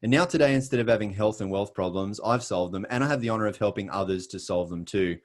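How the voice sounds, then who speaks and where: A middle-aged man with an Australian accent, speaking in a lowish voice. He tells his story earnestly and emphatically, lightening it with self-deprecating jokes, over a video call. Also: quick pace. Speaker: presenter. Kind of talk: scripted motivational presentation.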